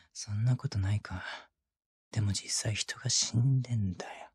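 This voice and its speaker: A man plays a sadistic twin detective in a binaural situation drama. His voice is very mellow and breathy, close to the mic. He coaxes and commands his suspect with cold condescension. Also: lowish voice, heavily acted. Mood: cold, condescending. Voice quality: breathy, husky. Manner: condescending, coaxing then commanding. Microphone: close binaural microphone.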